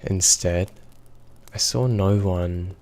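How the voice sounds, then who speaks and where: A man narrating a horror story in a slow, measured, even reading. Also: deep voice.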